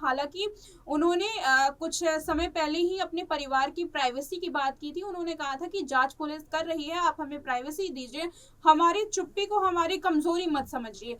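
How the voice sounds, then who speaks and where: A woman presenting news commentary in a halting, repetitive way, stretching words as she searches for the next. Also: high voice, a bit bright. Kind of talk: unscripted news commentary on a celebrity case. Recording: distant microphone.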